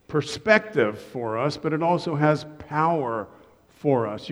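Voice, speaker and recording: A middle-aged man preaching a humorous sermon to his congregation from a church stage, with joking, self-deprecating asides. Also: a little high. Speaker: pastor. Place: church sanctuary. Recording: long reverb of a church hall.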